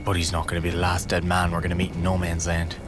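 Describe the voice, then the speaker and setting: Casting: male actor as deep-voiced Newfoundland infantryman, world-weary, plain-spoken, for a scored war game. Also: completely flat delivery, quick pace, close to an everyday voice. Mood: protective. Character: world-weary, level-headed soldier recalling the war. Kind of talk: dramatic game acting with reflective narration. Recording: music behind the voice.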